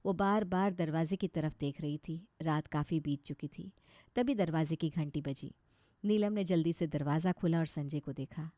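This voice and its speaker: A woman's voice: sits low, moderate pace, warm tone, no echo. A woman storyteller reading scripted narration of a moral tale.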